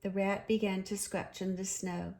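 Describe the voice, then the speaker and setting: A woman narrating a children's storybook in an expressive, deliberate storytelling manner. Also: medium pitch. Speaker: storybook reader.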